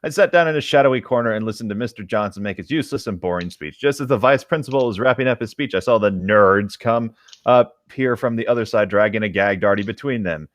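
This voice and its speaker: Male co-host, lower register, casual read-aloud and chat, very dry close sound. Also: fast talker, no echo. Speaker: podcast co-host reading aloud. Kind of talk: casual group fan fiction read-aloud with banter.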